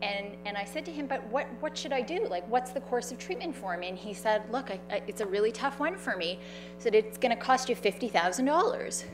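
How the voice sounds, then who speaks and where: Loud woman telling her story. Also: on the high side, a little fast, over music, poor audio. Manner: animated, conversational, with fillers and quoted dialogue. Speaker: speaker sharing her story. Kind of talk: personal storytelling talk. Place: event stage.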